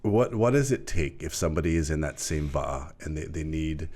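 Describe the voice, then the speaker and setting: Low-voiced interview host. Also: male voice, moderate pace. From Hawaii. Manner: animated, frequent affirmations, rambling questions. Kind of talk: casual interview conversation.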